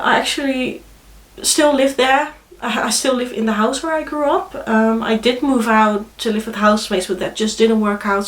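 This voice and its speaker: A woman's voice: fairly high pitch, echoey room. Young Dutch-accented woman with a cold. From Netherlands. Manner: chatty, hesitant, many fillers and restarts. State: congested with a cold. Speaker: vlogger. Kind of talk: casual chat to camera.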